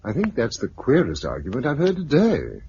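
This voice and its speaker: Earnest british survivor bloke, really swingy voice, old radio play, muffled n noisy. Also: male voice, mid-range voice, huge pitch swings, normal speed, warm tone. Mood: indignant, reluctant. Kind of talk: 1950s British radio drama acting. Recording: dull, noisy 1950s radio recording.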